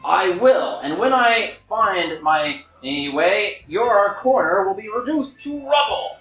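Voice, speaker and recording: A man's voice: high-pitched, expressive, takes it slowly, fairly bright tone, pitched above the performer's own voice, heavily acted. A man playing a haughty older monopolist in a table reading, condescending, dismissive and easily outraged. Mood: angry, threatening. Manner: pompous, dismissive, exclaiming. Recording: echoey room with music behind.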